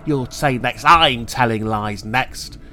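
A man voicing an angry, accusing woman lodger from a children's story, with a forceful, scolding, emphatic delivery. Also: medium pitch, slow pace. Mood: furious, accusing.

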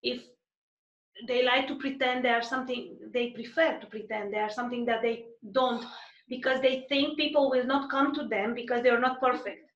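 Woman, high voice, roomy dull-sounding call, interview host. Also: steady tone, moderate pace, a bit bright. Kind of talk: casual interview chat.

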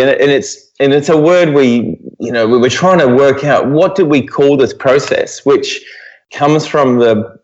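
A man's voice: medium pitch, lo-fi sound. A middle-aged man with a New Zealand accent explaining things reflectively as an interview guest. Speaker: guest expert, physiotherapy professor. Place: at home, remote interview.

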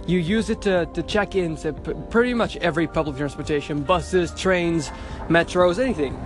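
A man's voice: on the high side, normal speed, over music. Young man rambling into his phone outdoors. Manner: rambling, chatty, frequent restarts. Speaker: podcast host. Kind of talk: casual audio diary. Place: outdoors at a bus stop on the street.

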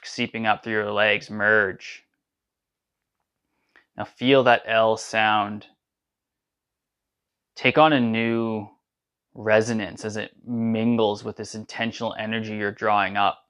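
A man guides listeners through a chanting meditation, giving calm, soothing instructions in a smooth, resonant voice. He speaks very slowly and sits a little low in pitch, drawing out each chanted syllable. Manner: slow, soothing guidance with drawn-out chanted syllables.

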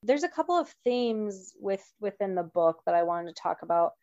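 A woman's voice: medium pitch, sing-song, normal speed, mellow, dry recording. A woman hosting a parenting podcast, asking questions in a friendly way with small restarts. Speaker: podcast host. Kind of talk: interview host. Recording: dry, dull lo-fi sound.

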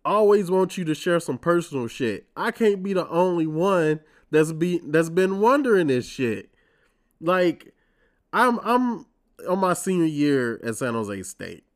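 A stuffy-nosed man in his twenties talks into a podcast mic, getting over a cold. He rants in a wry, casual, profane way as the host of his own show. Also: on the high side. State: congested from a cold. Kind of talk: casual solo monologue.

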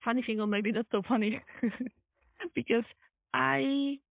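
A woman's voice: a little high, slow pace, creaky, no echo. A woman from Poland with a Polish accent, speaking English as a second language in a casual, chatty way, often repeating and rephrasing herself. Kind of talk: casual chat. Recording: thin phone-like call audio.

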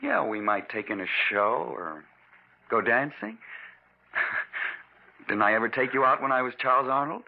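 An actor playing a restless, scheming husband in an old-time radio mystery, with earnest, emphatic delivery. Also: male voice, medium pitch, animated, unhurried, creaky, long pauses. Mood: coaxing, affectionate. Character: scheming discontented husband, restless, smooth-talking man. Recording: muffled vintage radio recording.

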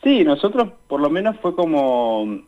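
A man's voice: fairly high pitch, sing-song, measured pace. Dull-sounding call, young Argentine man. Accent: Argentine Spanish. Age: young adult. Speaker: student guest, radio drama performer. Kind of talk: casual interview answers.

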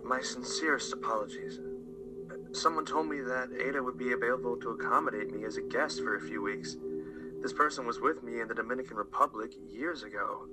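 Polite, halting young male stranger acted by a man. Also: mid-range voice, natural voice, poor audio. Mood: apologetic, hesitant. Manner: formal, halting. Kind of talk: amateur radio play acting. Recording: music under the voice.

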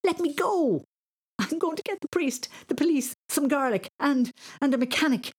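A woman acts out an excitable little storybook boy in pyjamas, pitched up high and a touch gravelly. He is easily frightened and cheeky, and he exclaims and stammers with great indignation. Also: moderate pace. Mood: panicked, shouting. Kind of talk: children's story reading.

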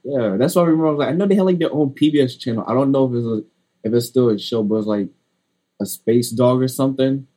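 A man's voice: mid-range voice, quick pace, mellow. A man hosting a podcast interview, rambling and filling his questions with "like" and "you know". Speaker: podcast host. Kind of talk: casual interview talk.

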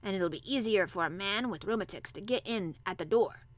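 A crabbed but loyal old Yorkshire gardener , acted by a woman. He talks in broad dialect with a dry, gruff, emphatic delivery, like a storybook old-timer. The sound is close and dry. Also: mid-range voice, fairly quick, no echo, natural voice. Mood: gruffly pleased. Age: older.

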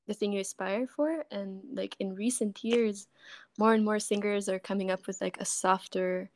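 A woman in her twenties, a singer-songwriter guest, speaking gently and thoughtfully in a soft voice. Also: medium pitch, steady tone, moderate pace. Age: 20s. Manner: gentle, thoughtful, laughs often. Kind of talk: casual interview chat.

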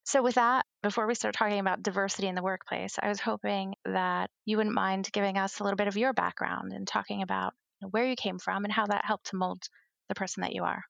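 A woman hosting a podcast speaks in a gravelly voice with a dry, close sound. She reads a prepared, earnest introduction to her guest. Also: mid-range voice, steady tone, fast, fairly bright tone, no room sound. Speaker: podcast host. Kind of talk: podcast interview.

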